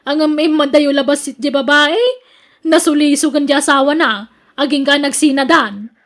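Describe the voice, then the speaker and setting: A woman's voice: high voice, steady tone, moderate pace, fairly bright tone. A woman with an Ilocano accent acting as a jealous, suspicious wife in a radio drama, insistent and scolding. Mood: distrustful, stubborn.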